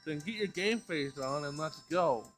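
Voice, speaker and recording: A man voicing an impatient, bossy young woman who scolds her partner briskly. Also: on the high side, expressive, a bit bright. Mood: scolding. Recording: music behind the voice.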